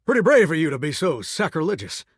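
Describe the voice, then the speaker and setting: A flirtatious, wistful talking shower from a video game. He drawls in a folksy Southern US accent, somewhat high in pitch, with big theatrical swings of intonation. The sound is dry and close, with no room on it. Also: very animated, fast talker, husky, no room sound. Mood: playful, chatty. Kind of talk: video game character acting.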